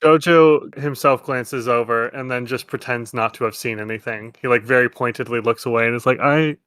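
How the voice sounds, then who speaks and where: A man's voice: mid-range voice, fairly even intonation, brisk, fairly bright tone, clear, resonant tone, dry recording. Man casually running a tabletop game. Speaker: game master.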